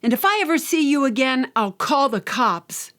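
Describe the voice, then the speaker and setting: A woman narrator plays an angry female restaurant worker in an audio story. Her voice jumps high and loud as she scolds. She is threatening and has no patience for intruders. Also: lively intonation, normal speed.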